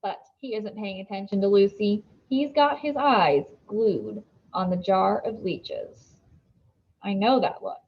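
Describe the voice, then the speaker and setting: A woman reading a children's novel aloud as an expressive storybook narrator. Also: medium pitch, takes it slowly, dull audio.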